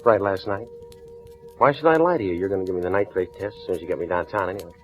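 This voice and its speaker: A man's voice: deep voice, rapid-fire, faint music behind, lightly acted. A man playing a cool, wisecracking murder suspect in a 1940s radio crime drama, laconic and defiant. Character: cocky, unruffled male murder suspect, wisecracking and streetwise. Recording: dull, muffled vintage radio recording.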